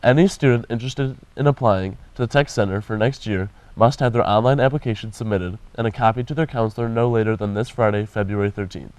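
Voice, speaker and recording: A man's voice: on the low side, fast talker, hardly any pauses, a little mellow, clean tone, no echo. Teen boy reading school announcements. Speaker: school news anchor. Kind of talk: morning announcements.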